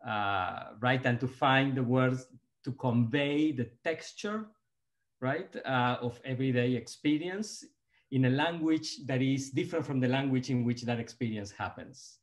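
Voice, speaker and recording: A middle-aged man from Argentina, speaking English with an Argentine Spanish accent as a guest author on a video call, hesitant with many pauses and restarts. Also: medium pitch. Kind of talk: conversational book talk on video call. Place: office with bookshelves, indoors. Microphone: video call webcam.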